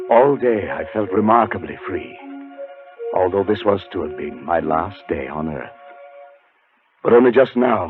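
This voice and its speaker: An actor playing a haunted man relieved to escape a curse, in measured 1940s radio drama style. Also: low-pitched. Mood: weary relief. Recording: muffled 1940s radio broadcast, music behind.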